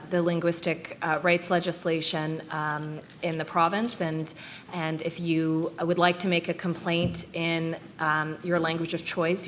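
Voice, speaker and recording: A woman's voice: sits low, a bit bright, a little hiss or hum. A woman from a review team answering press conference questions, hesitant and full of fillers.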